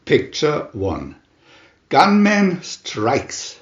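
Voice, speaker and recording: A man reading a comic adventure booklet aloud as a dramatic narrator, announcing each part with theatrical pauses. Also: fairly high pitch, wildly swooping pitch, roomy sound, highs cut off.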